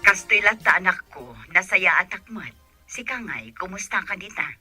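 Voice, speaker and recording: A woman's voice: medium pitch, expressive, normal speed, pushed and bright, slightly rough, faint music behind, exaggerated voice. A woman acting a protective, plain-spoken provincial mother in an Ilocano radio drama. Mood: concerned.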